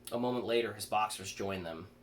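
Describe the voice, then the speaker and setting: Male horror reader, lower-pitched, some stumbles over words, faint noise behind the voice. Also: a little fast. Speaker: author reading own fiction aloud. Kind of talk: horror story reading. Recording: clear background noise.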